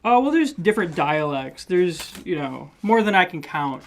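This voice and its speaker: A man's voice: high-pitched, big pitch swings, normal speed, slight background noise. A young man from the Philippines, a livestream guest, chatting casually with dry jokes and a hesitant delivery. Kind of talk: casual chat.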